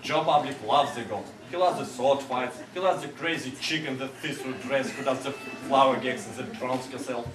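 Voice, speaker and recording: An actor playing a pushy theatre producer who coaxes and flatters. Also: male voice, medium pitch, moderate pace, few pauses, natural voice. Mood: coaxing. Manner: wheedling and persuasive. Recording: echoey, distant and noisy.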